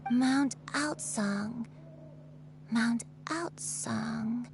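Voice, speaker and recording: Slow, wistful, forgetful granny. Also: female voice, on the high side. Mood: distressed, confused. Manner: hesitant, halting, wistful. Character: gentle, troubled old granny who lost her memory. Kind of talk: video game voice acting. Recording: music behind the voice.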